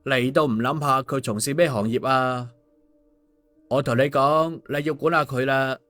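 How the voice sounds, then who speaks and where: A man's voice: mid-range voice, fairly even intonation, normal speed, fairly bright tone, soft background music, lightly acted. A man voicing a glib, cheeky male schemer in an audiobook, teasing and mock-sincere with exclamations. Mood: persuading, gossipy. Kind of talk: audiobook dialogue.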